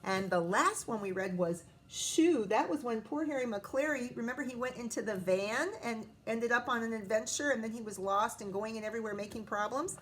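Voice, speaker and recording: A woman teacher reading a picture book aloud to children, expressive and often pausing to prompt young listeners with questions. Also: on the high side, slight background noise.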